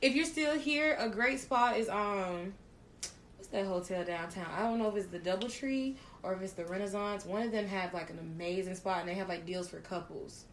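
A woman's voice: mid-range voice, normal speed, slight background noise. Brash, crude-joking woman podcast host. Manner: loud, laughing, crude joking. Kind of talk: casual chat.

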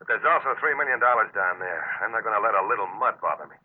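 An actor playing a stubborn, grimly determined deep-sea diver in an old-time radio suspense drama, speaking and narrating in a terse, intense, hard-boiled way. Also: male voice, expressive, quick pace. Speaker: lead actor and first-person narrator. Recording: noisy, tinny old radio recording.

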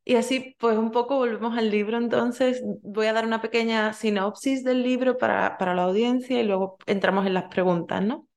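A woman's voice: on the high side, fairly even intonation, moderate pace, few pauses, a little mellow. A woman hosting an interview, introducing her guest and asking questions, often hesitating and adding tag questions. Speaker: podcast host.

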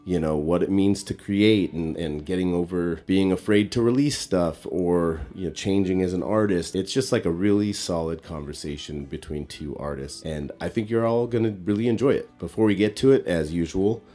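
Man, very low voice, congested, podcast host, relaxed conversational delivery. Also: moderate pace, hardly any pauses, soft background music. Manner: relaxed, conversational, loose fillers. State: congested, recovering from illness. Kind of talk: casual podcast intro and sponsor read.

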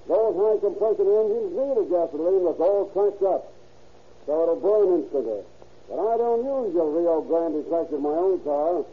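Grumbling veteran cop, old radio. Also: male voice, sits high, animated, moderate pace, breathy edge. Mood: grumbling, defiant. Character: hard-boiled veteran policeman, male, frugal, grumbling. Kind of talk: 1930s radio acting. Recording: tinny, noisy 1930s radio recording.

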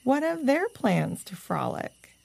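A woman doing a bold young raccoon girl, high. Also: big pitch swings, takes it slowly, vocal fry, a little mellow, no room sound, heavily acted. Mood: worried.

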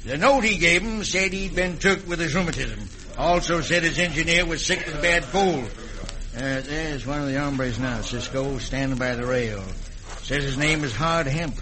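An actor playing a folksy, plain-spoken American shipping superintendent in an old radio western. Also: male voice, a little high, normal speed, pauses often, crowd noise, natural voice, poor audio. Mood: worried. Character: hearty, worried Old West shipping superintendent. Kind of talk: classic radio western acting. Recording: old radio recording with background voices.

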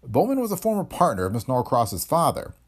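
A man's voice: medium pitch, wildly swooping pitch, brisk, breathy edge, voice pushed up. A man voicing a cocky, sardonic, hard-headed investigator in a hardboiled detective story, wry and tough-talking. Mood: cool, defiant. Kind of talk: hardboiled detective audiobook dialogue.

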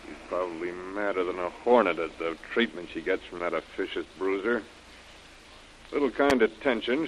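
An actor playing a sly, bossy, scheming villain in a 1930s radio adventure serial. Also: male voice, on the low side, a little hiss or hum, close to an everyday voice. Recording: muffled old radio recording.

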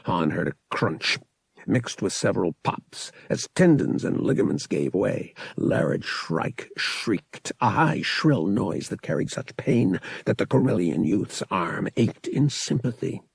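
Male audiobook narrator: low, raspy, expressive and suspenseful, with big swings in intonation. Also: unhurried, dry recording, clean studio sound. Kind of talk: dramatic scripted storytelling.

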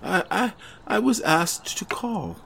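A man playing a nervous, apologetic gentleman messenger in an audio drama, stammering and hesitant. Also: sits high, animated, slow pace, creaky, heavily acted. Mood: nervous, reluctant. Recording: audible background noise with clicks.

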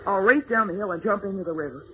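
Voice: medium pitch, fast talker, a bit bright, lightly acted. An actor playing a brave, eager twelve-year-old village boy in an old radio serial, earnest and determined, on a muffled noisy recording. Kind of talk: 1940s radio serial acting.

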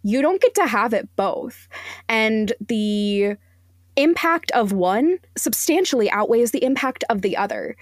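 A woman speaking as a podcast guest, giving opinions in an emphatic, deliberate way. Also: fairly high pitch, expressive, dry recording, studio quality. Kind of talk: opinionated discussion.

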